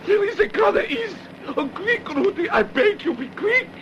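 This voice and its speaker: A woman's voice: extremely high pitch, very animated, moderate pace. An actress playing a frightened, pleading woman in a radio drama. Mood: panicked, pleading. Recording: muffled, noisy old radio drama.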